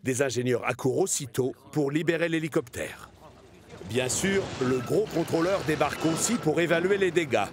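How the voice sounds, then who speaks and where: A man's voice: fairly high pitch, normal speed, background noise. A man narrating a children's cartoon as a storyteller, reading a scripted tale.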